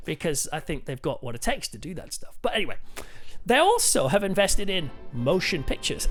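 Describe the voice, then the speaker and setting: High-voiced man, chatty reviewer. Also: a bit bright. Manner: enthusiastic, chatty. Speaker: host and reviewer. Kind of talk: casual conversational review.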